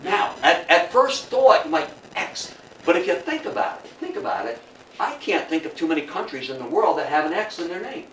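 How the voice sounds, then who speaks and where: An older man giving a public history lecture in a theatrical, emphatic storytelling manner, with dramatic pauses and rhetorical questions. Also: a little high, big pitch swings, fairly bright tone. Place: indoor talk room before an audience. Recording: roomy, distant mic, faint noise.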